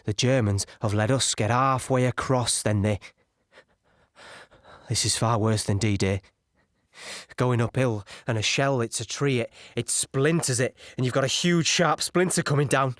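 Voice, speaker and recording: Guy acting weary young soldier telling war memories, sombre n halting, lowish plain voice, very dry sound. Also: a bit bright, no echo, lightly acted. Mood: agitated. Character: weary young British infantryman recalling Normandy battles. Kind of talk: dramatic monologue in an audio play.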